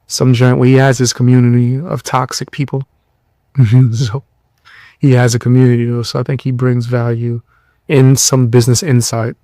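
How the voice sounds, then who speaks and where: A tired young man hosting a podcast, giving blunt, mocking commentary in loose slang with a low voice. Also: flat delivery, moderate pace, warm tone, no echo. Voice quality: lower voice. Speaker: podcast host. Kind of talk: casual commentary.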